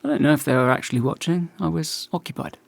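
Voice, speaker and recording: A man's voice: fairly high pitch, steady tone, fast, gravelly, no room sound. An actor playing a wry, self-deprecating, slightly hesitant man in a dorm, in a naturalistic radio play. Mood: evasive.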